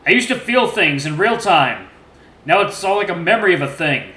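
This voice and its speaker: A man's voice: medium pitch, brisk, bright, forceful tone, echoey room, close to an everyday voice, low-quality recording. A man voicing a despairing, haunted furry father who talks in a ragged, tired way.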